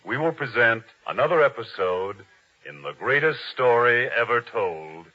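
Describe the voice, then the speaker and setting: A man's voice: medium pitch, animated, moderate pace. A man announcing in the scripted, formal style of 1950s radio. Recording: dull old radio broadcast recording.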